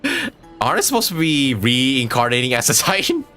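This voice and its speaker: A man's voice: mid-range voice, wildly swooping pitch, moderate pace, bright, forceful tone, faint music behind. A man giving casual live reaction commentary on a video game, joking and sarcastic, with some swearing.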